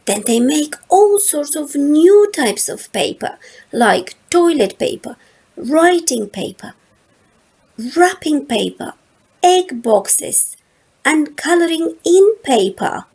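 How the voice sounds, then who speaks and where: Slow, expressive woman reading a storybook. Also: high voice. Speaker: storytime reader. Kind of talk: reading a picture book aloud to children.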